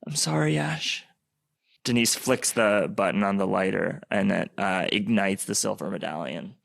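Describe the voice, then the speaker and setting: A man improvising story narration as a podcast performer, halting as he searches for the next phrase. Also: low-pitched, gravelly, fairly bright tone.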